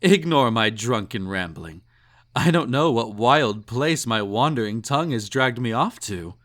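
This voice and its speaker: Slow-talking, snobbish, possessive emperor, acted by a man. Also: mid-range voice, expressive, a bit bright. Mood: tipsy, teasing. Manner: pompous, condescending, teasing, ornate formal phrasing. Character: snobbish, possessive male emperor, indulgent and slightly tipsy. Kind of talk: intimate roleplay audio drama, second-person monologue.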